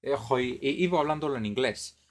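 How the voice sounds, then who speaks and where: A man's voice: mid-range voice, animated, fast talker. Bemused Madrid man hosting a live game stream. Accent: Madrid Spanish. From Madrid area, Spain. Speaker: podcast host and streamer.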